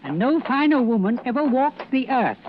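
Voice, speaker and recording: Old country fella, humble n halting, pitch all over, muffly old radio. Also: male voice, big pitch swings. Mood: wary, respectful. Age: older. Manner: deferential, halting, rustic. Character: loyal old blind countryman, garrulous former servant. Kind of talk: 1940s radio drama acting. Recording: dull, noisy old-time radio recording.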